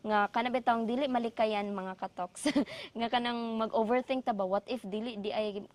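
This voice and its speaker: A woman from the Philippines with a Filipino accent and a Cebuano mother tongue, co-hosting a casual, reflective chat in a halting way with trailing thoughts. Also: on the high side. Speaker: podcast co-host.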